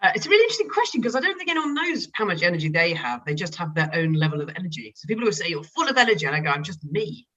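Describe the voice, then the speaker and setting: A woman, a company chief executive, answering interview questions with lively, effervescent energy, though she is a bit tired. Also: on the low side, far from the mic, highs cut off. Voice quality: lively, fast-talking, effervescent.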